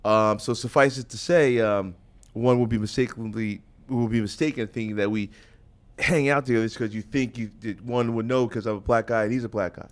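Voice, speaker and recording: A middle-aged man hosts a casual talk radio chat. He is measured and hesitant and restarts his sentences often. His voice sits at an ordinary pitch. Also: steady tone. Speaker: radio show host.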